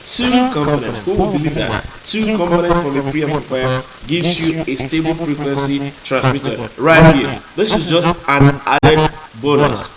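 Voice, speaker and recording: A man's voice: fairly high pitch, measured pace, few pauses, over a noisy crowd. A man hosting a casual hands-on electronics tutorial, explaining step by step with frequent restarts and fillers. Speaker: electronics channel host. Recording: echoey room, mic at a distance, noisy.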